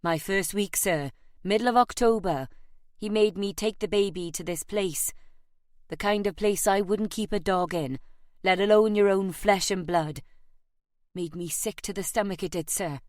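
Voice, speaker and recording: A woman's voice: medium pitch, normal speed, a little mellow, no echo, studio quality, close to an everyday voice. A woman voicing a humble, eager male ex-soldier, deferential and plain-spoken, in an audiobook. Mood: disgusted.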